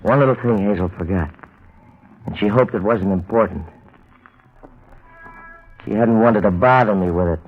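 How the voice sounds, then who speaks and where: Tough, lowish-voiced cornered crook. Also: male voice, normal speed, long pauses, breathy edge, soft background music. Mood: bitter, rueful. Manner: tough, slangy, sardonic. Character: cornered, bitter small-time male crook, fatalistic. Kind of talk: 1950s crime radio drama acting. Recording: dull vintage radio recording.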